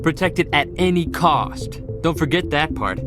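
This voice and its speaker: A man's voice: on the high side, expressive, moderate pace, music in the background, close to an everyday voice. An actor playing an eager, restless young male marine corporal in a video game. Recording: game audio with score.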